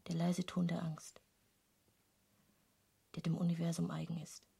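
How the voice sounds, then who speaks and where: An actress in a literary radio play playing an intense, searching woman painter, speaking intimately and deliberately in a breathy, creaky half-whisper. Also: fairly low pitch, monotone, warm tone, heavily acted. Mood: yearning, intense. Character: intense female painter hungry for forbidden knowledge, musing.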